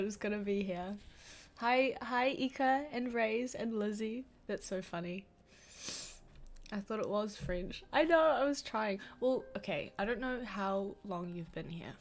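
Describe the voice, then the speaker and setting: A woman's voice: medium pitch, a little hiss or hum. A young woman with a New Zealand accent, sniffly, chatting casually and self-deprecatingly while reading a book aloud on a livestream at home.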